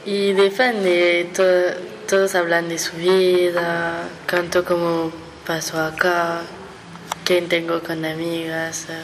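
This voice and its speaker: French exchange student, female, flat low delivery over a crowd. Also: slow pace, slight background noise, poor audio. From France. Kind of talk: interview answers.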